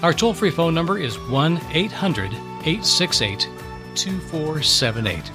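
A man's voice: fairly high pitch, big pitch swings, a little slow. A man reading a scripted appeal as an announcer, earnest and polished. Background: music.

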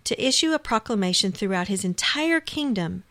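A woman co-host reading and explaining scripture in a clear, explanatory manner. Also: mid-range voice, animated, moderate pace, fairly bright tone, no room sound.

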